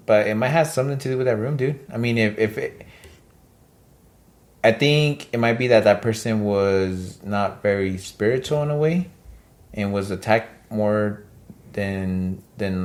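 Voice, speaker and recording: Low-voiced man chatting, slangy and rambling. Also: normal speed. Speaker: podcast co-host. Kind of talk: casual chat.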